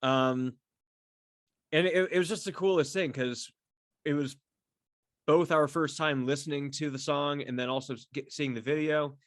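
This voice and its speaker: A man chatting casually and solo as a podcast host, effusive and halting, repeating words and swearing freely as he rambles. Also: on the high side, steady tone, no room sound, studio quality. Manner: effusive, enthusiastic fan talk. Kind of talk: casual solo chat to camera about a favorite band.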